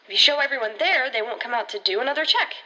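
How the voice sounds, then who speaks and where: A woman's voice: high-pitched, lively intonation, racing, pushed and bright, rough recording. A woman playing an anxious, defensive teenage rookie ranger in an audio drama, insistent and sarcastic. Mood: insistent, pleading.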